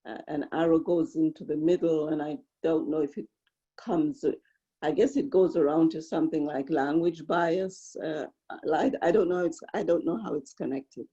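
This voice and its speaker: A woman's voice: low-pitched, steady tone, normal speed, warm tone, slightly rough, lo-fi sound. A woman speaking frankly in an online workshop discussion, plain-spoken and repeating her words, over a video call. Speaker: workshop participant.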